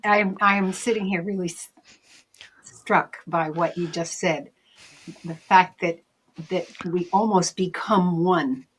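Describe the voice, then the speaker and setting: Female interview host, lowish husky voice, reflective and measured, conversational. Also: rough recording. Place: online video call.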